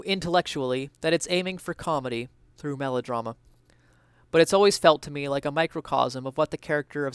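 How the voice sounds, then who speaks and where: A man with a slightly high, clean and resonant voice performs an essay as a dramatic reading. His phrasing is earnest, deliberate and theatrical. The recording is close and dry. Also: fairly bright tone, clear, resonant tone, no room sound. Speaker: reader of an essay.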